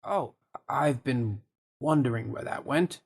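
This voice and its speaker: An actor playing an evasive, polite soldier in an audio play, deferential and affectedly well-spoken. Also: male voice, mid-range voice, takes it slowly, creaky, slightly rough, heavily acted. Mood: guarded, polite. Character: evasive, polite male soldier suspected of murder.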